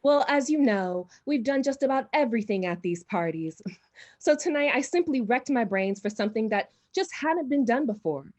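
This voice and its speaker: A woman's voice: on the high side, lively intonation, moderate pace, a little mellow. A woman playing a gushing, self-important society hostess and would-be medium in a radio drama, speaking in a grand, theatrical manner.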